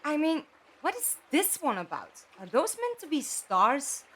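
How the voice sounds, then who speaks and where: A woman's voice: high-pitched, huge pitch swings, a little slow, fairly bright tone, slight background noise, exaggerated voice. A woman playing a curious, playful explorer chatting inquisitively to herself in an audio drama. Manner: chatty, inquisitive self-talk.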